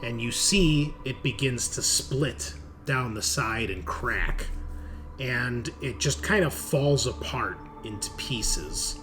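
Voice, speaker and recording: A man running a tabletop roleplaying game as game master, narrating in a halting, descriptive way over an online call. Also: mid-range voice, music in the background. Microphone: online group call. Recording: clear background noise.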